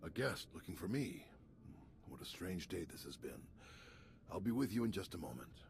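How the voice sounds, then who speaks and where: A man playing a serene, wise Jedi leader in a video game, calm, courteous and deliberate in speech. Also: low voice, vocal fry, full character voice. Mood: calm welcome. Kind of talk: video game cutscene acting.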